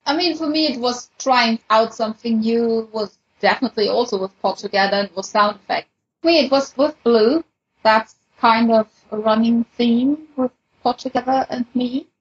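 A woman's voice: on the high side, normal speed, slightly rough, muffled recording. A woman chatting casually and reflectively as a guest in a panel discussion. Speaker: podcast panelist, audiobook-style fan fiction reader.

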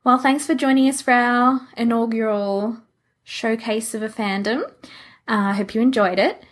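A woman's voice: on the high side, fairly even intonation, normal speed. A woman co-hosting a podcast in a casual, conversational style. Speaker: podcast co-host. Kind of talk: casual chat.

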